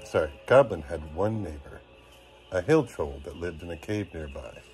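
Man in his thirties, low lively voice, unhurried storybook read-aloud, bedtime father. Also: soft background music. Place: small dim bedroom, lying down beside children. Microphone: phone at arm's length.

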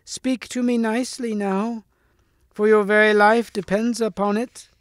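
Man as storybook crone, high-pitched, cracked, shrill, commanding, archaic wording, loathly and scheming. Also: flat delivery, a little slow, no room sound. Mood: shrill, imperious. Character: loathly old hag, shrill, eager, haunted and bargaining.

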